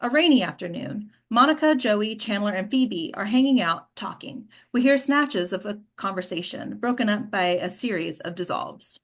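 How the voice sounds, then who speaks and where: A woman's voice: mid-range voice, lively intonation, moderate pace, hardly any pauses, fairly bright tone. A woman giving scripted narration, reading stage directions at a table read.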